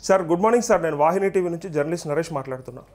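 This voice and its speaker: Fast, high-pitched Telangana Telugu newsman. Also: lively intonation, darkish tone. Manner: insistent, questioning, repeats points rhetorically. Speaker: journalist and TV host. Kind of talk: live political news commentary.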